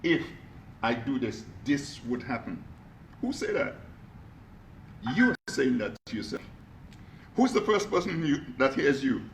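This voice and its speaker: A man giving a motivational talk to a live audience, energetic, asking them questions and calling out to them. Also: mid-range voice, unhurried, pauses often, roomy sound, slight background noise. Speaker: motivational speaker. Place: echoey room with an audience.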